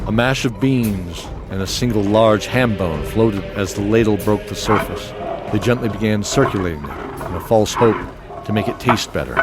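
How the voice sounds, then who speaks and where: Low-voiced man narrating fantasy fiction. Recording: clear music bed behind voice.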